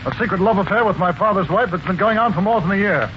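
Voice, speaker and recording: An actor playing a well-bred man in his thirties, with measured, formal speech in 1940s radio mystery style. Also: sits high, expressive. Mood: scornful, accusing. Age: 30s. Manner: courteous, measured, hesitant. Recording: noisy dull 1940s radio recording.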